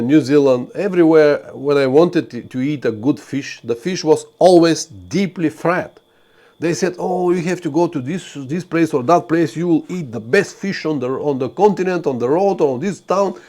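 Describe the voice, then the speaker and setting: A man's voice: a little high, darkish tone. A man from Bulgaria, hosting a live stream and giving earnest motorcycle travel advice with frequent fillers and restarts. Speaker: motorcycle travel channel host. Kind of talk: live stream talk to viewers.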